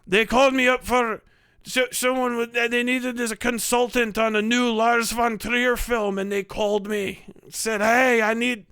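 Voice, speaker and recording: A man giving profane, casual livestream commentary, rambling and thinking out loud as he goes. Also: very high voice, little pitch movement, a little slow, smooth, no echo. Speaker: live streamer reacting to videos.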